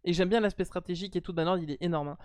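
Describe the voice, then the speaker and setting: A woman's voice: lowish voice, very fast, darkish tone, no room sound. Blunt, teasing French woman streamer. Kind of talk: casual live stream chat.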